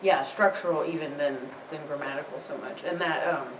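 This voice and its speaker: Low-voiced woman tutor, casual and thoughtful. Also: a little fast. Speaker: writing tutor. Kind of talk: casual discussion. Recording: roomy distant mic with room noise.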